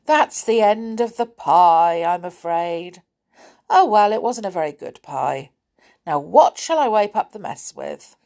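A woman's voice: fairly low pitch, big pitch swings, measured pace, gravelly, a little mellow, exaggerated voice. A woman voicing a fussy, muddled little male goblin in a children's storybook, talking in a flustered, dithering way. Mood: rueful.

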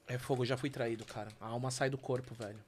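A podcast co-host asking questions in fast, excitable, slangy casual chat. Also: mid-range voice, a little hiss or hum.

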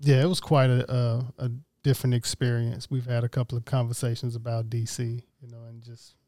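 A man from Mississippi, co-hosting a relaxed interview chat. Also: medium pitch, brisk, no room sound, studio quality. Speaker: co-host.

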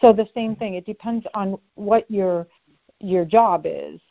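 A woman's voice: medium pitch, a little slow, vocal fry. A woman being interviewed as a personal development expert, giving earnest, direct advice. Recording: slightly dull, lo-fi audio.

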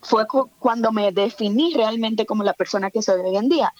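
A woman's voice: fairly high pitch, normal speed, darkish tone, dry recording, muffled recording. A woman in her thirties from the Dominican Republic, a guest in a casual conversation, speaking reflectively and hesitantly with fillers. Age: 30s.

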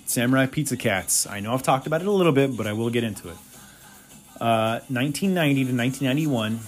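A man hosting a solo podcast, giving blunt, opinionated reviews with crude humor. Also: medium pitch. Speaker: podcast host.

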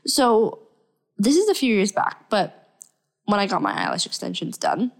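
A woman's voice: mid-range voice, huge pitch swings, normal speed. A young woman hosting her podcast, chatting casually while sick and stuffy, upbeat and full of fillers. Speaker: podcast host. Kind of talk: casual solo podcast talk.

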